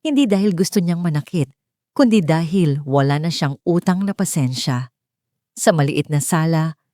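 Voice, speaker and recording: Female Filipino storyteller for scripted drama narration; lower register, soft dark tone, close dry recording. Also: no echo.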